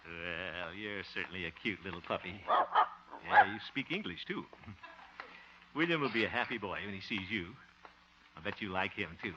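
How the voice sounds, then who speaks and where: An actor playing the fussy, easily exasperated lead of a 1950s radio sitcom, who chuckles often. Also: male voice, sits low, expressive, normal speed, long pauses. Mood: flustered. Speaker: lead of the show, radio host character. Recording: muffled hissy 1950 radio transcription.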